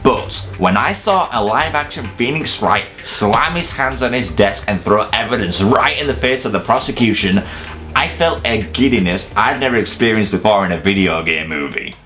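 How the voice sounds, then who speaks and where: Man, low pitch, scripted casual review, emphatic delivery, cheeky self-mocking humour, background music. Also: lively intonation, normal speed, bright, forceful tone. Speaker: video reviewer presenting a top ten list.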